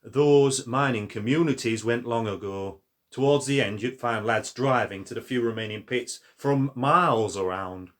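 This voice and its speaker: A man acts a veteran Northern English mine deputy in a radio play. He is steady and protective, speaking plainly in short, understated phrases with a dry edge. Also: medium pitch, moderate pace, lightly acted. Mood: guarded, dry. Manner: terse, understated, plain-spoken. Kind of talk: radio drama acting.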